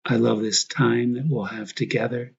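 Husky, gravelly man leading prayer. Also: medium pitch, fairly even intonation, dull audio. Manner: reflective, prayerful, pausing in short phrases. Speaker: priest, meditation guide. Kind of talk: guided prayer meditation.

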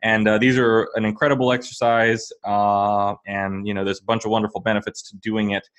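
A man hosting a podcast, explaining singing exercises in a relaxed, easygoing way. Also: fairly low pitch, a little fast, smooth. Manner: relaxed, thinks aloud with fillers. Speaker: podcast host, vocal coach. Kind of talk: casual teaching chat.

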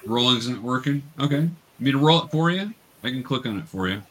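A man's voice: mid-range voice, lively intonation, quick pace, a bit bright, rough recording. A man running a tabletop game as game master, coaching young players in a casual, patient way with hesitant explanations.

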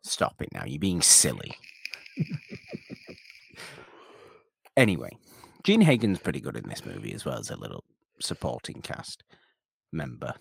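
A man with a very low, deep voice talks loosely about a classic movie, circling back and restarting his sentences. The sound is close and dry, with no room echo. He drifts between points in casual podcast chat. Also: moderate pace, creaky, slightly rough. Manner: rambling, animated, self-interrupting. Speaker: podcast co-host. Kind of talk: casual film discussion.

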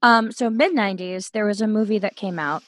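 Woman, late thirties, giggly storyteller, mid pitch, dry sound. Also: fairly quick, a bit bright, no echo. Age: 30s. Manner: chatty, giggly, self-deprecating. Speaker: podcast guest. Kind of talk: casual storytelling chat. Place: her bedroom.

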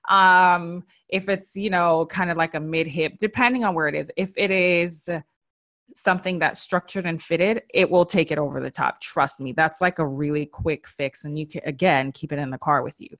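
A woman's voice: on the low side, normal speed, fairly bright tone. A woman hosting a style and beauty podcast, giving chatty, encouraging tips with casual fillers.

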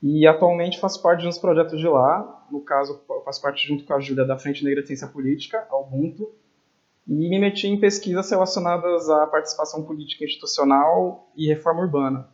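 A young man co-hosts a student podcast with an earnest, explanatory manner. His voice sits a little high. The recording sounds dull and lo-fi. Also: fairly quick. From São Paulo, Brazil. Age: young adult. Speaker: podcast co-host. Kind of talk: casual podcast introduction.

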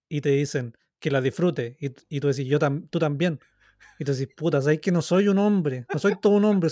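A man's voice: medium pitch, darkish tone, no room sound, studio quality. A man in his thirties with a Chilean accent, co-hosting a casual podcast in a rambling, self-mocking, repetitive way. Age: 30s. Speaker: podcast co-host. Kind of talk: casual, joking chat.

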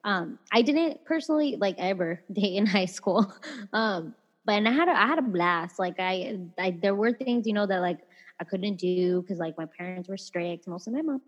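A woman's voice: medium pitch, expressive, normal speed. Young woman, chatty co-host, giggly, dull lo-fi sound, long reverb. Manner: giggly, chatty, many fillers. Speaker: podcast co-host. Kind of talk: casual chat reading listener messages.

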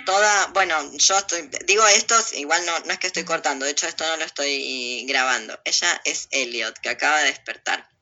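Casting: Argentine woman, ironic and provocative talker, piercingly bright, forceful delivery, slightly dull lo-fi audio. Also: sits low, fairly quick, hardly any pauses. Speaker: interview guest with own video channel. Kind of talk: opinionated casual conversation.

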